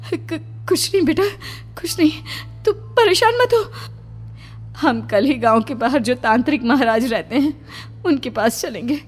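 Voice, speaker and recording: A woman's voice: sits high, lively intonation, moderate pace, pauses often, pitched above the performer's own voice. A woman voicing a frightened, pleading mother in a melodramatic horror cartoon. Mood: anxious soothing. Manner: pleading, panicky, tearful. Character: terrified pleading village mother protecting her young son.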